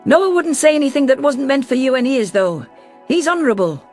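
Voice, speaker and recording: A woman's voice: high-pitched, sing-song, a little fast, darkish tone, faint music behind. A woman voicing a defiant, protective female alien ambassador, telling her story in a sci-fi audio drama with earnest assertiveness. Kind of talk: science fiction audio drama, first-person narration and dialogue.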